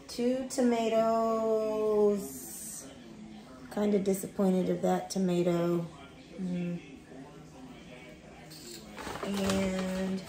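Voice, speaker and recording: Woman, middle-aged, chatty, unhurried, roomy kitchen echo. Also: medium pitch, background noise. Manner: chatty, reads recipe steps aloud. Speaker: vlogger. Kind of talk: casual cook-along vlog. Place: home kitchen. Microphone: camera on the counter.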